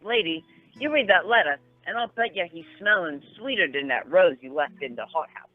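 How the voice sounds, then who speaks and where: Man playing a high-voiced slangy street kid, phone-like sound. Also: expressive, brisk, dry recording. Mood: brash, insistent. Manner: slangy, ungrammatical street talk. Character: streetwise, slangy errand boy, eager for coins. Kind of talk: radio play acting. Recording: narrow phone-like sound, faint guitar music.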